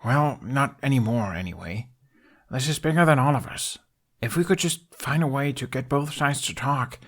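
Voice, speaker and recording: Man playing a deadpan young prince, mid-pitched. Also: moderate pace. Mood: earnest. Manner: deadpan, sarcastic, grumbling. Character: dry, earnest, stubborn young prince.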